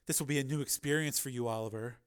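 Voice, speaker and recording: Man voicing a commanding, deliberate, teasing woman. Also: medium pitch, animated, fairly bright tone. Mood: slow teasing.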